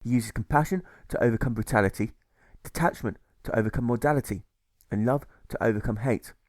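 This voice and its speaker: A man reading a rhyming novel as scripted audiobook narration, in a measured, rhythmic delivery. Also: mid-range voice, darkish tone, no echo, clean studio sound. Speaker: author reading own audiobook.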